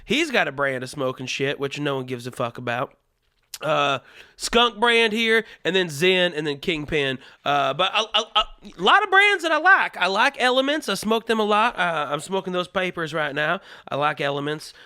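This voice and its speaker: A man's voice: high-pitched, bright, forceful tone, no echo, studio quality. A man hosting a comedy show in a put-on Southern US accent, talking casually in a crude, profane, joking monologue.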